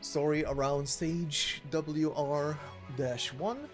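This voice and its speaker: A man's voice: on the high side, fairly even intonation, takes it slowly, faint music behind. A man reading a game story aloud as narrator, in a scripted storytelling style.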